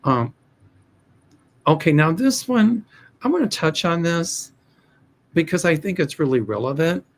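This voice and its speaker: A man's voice: on the high side, animated, unhurried, pauses often. An older American man hosting a livestream as a psychic, reading viewer questions in a hesitant, rambling way with many restarts. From United States. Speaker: psychic host of a livestream show.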